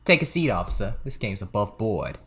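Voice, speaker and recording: Guy doing a sly touchy poker dealer, swaggering and theatrical, pitch jumps around, radio comedy. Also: big pitch swings, brisk, pushed and bright. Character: sly, touchy back-room card dealer and chef. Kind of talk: comedic audio play acting.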